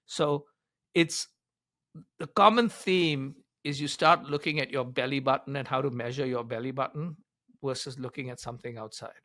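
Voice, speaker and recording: A man's voice: medium pitch, normal speed, pushed and bright, no echo. Older man explaining with emphasis, dry sound. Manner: emphatic, explains in numbered points with acted-out quotes. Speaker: business author and advisor being interviewed. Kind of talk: conversational explanatory interview answers.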